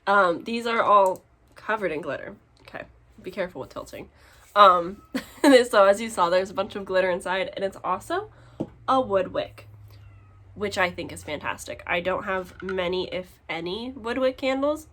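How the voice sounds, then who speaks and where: A woman chatting casually to her vlog audience in an expressive, giggly, exclaiming way, as a book vlogger showing her purchases. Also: mid-range voice, normal speed. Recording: clear background noise.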